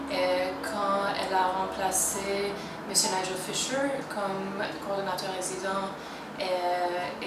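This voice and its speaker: A woman giving a measured, hesitant testimonial, pausing often. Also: mid-range voice. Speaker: colleague giving a farewell tribute. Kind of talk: recorded testimonial. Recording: roomy and distant, loud music behind.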